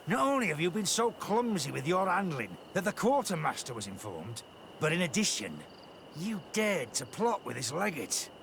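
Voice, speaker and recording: A cruel gang boss. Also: male voice, fairly high pitch, sing-song, normal speed, gravelly, background noise. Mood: menacing. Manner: contemptuous, threatening. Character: menacing male gang leader, cold and cruel. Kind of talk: video game voice acting.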